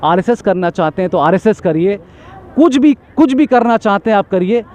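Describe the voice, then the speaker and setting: A man's voice: high voice, lively intonation, moderate pace, darkish tone. A man giving an impassioned street interview, talking emphatically in long repetitive lists and stammering when agitated.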